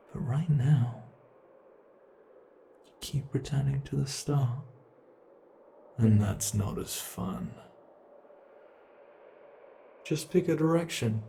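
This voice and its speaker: Man playing a slow, creaky, sadistic monster. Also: medium pitch, warm tone, slight background noise. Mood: taunting, playful. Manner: slow, drawn-out, taunting, theatrical. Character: sadistic monster from under the bed, toying with human prey. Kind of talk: ASMR horror roleplay.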